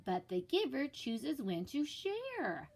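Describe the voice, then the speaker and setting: A woman's voice: high voice, wildly swooping pitch, takes it slowly, gravelly, darkish tone, pitched above the performer's own voice, exaggerated voice. A woman voicing a patient but firm female schoolteacher sea creature in a storybook, instructive and sing-song.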